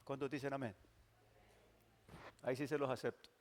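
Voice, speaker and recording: A male pastor, preaching emphatically and moving from loud declarations to hushed, drawn-out phrases. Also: mid-range voice, flat delivery. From Mexico. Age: 60s. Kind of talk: sermon. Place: large church hall. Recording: long church hall reverb.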